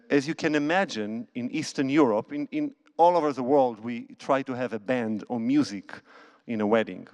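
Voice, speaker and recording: A conductor and cellist talking to a concert audience from a church stage into a handheld microphone. He tells stories casually, with non-native phrasing and restarts. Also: medium pitch.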